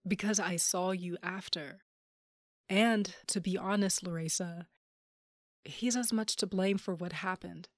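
A woman's voice: on the low side, steady tone, a little slow, dry recording, studio quality, close to an everyday voice. A woman playing a wry, loyal, rule-breaking sister in a sci-fi audio drama, casual and frank. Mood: frank, steady.

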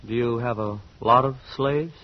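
A man's voice: mid-range voice, normal speed, dry recording, close to an everyday voice. An actor playing an earnest, wide-eyed young man in a 1940s radio drama. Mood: amazed, puzzled. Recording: dull 1940s radio recording with faint music.

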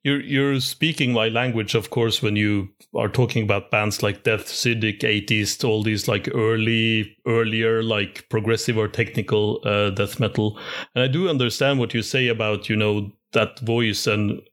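A man's voice: fairly low pitch, fairly even intonation, normal speed, fairly bright tone. A man interviewing casually, circling his way into long, wordy, enthusiastic questions.

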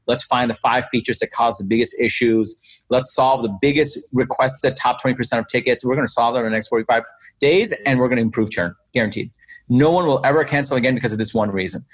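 A man born in Bangladesh and raised in Queens with a New York accent, talking as an energetic, emphatic podcast guest who acts out quoted reactions. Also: mid-range voice, slightly rough, dry recording. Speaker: guest entrepreneur. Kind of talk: conversational podcast interview.

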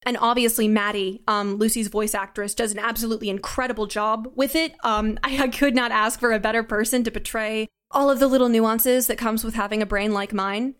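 A woman's voice: a little high, steady tone, fairly quick, fairly bright tone, smooth. A woman giving reflective, articulate interview answers in an earnest way, as a creative guest. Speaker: podcast guest, audio drama writer and sound designer.

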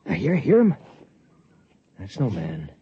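A man's voice: fairly low pitch, wildly swooping pitch, takes it slowly, warm tone, slightly rough, highs cut off, heavily acted. An actor playing a trigger-happy spaceship crewman in a 1950s radio drama, speaking in urgent, clipped, stammering bursts. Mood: hushed, tense. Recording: noisy 1950s radio recording.

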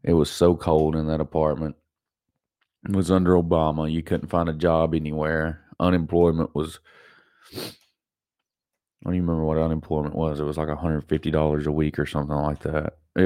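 Deep voiced guy with a stuffy nose, rambling podcast chat, repeats stuff a lot. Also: little pitch movement, a little fast, warm tone, no room sound, clean studio sound. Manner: rambling, repeats himself, self-deprecating laughs. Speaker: podcast host. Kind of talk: casual solo podcast monologue.

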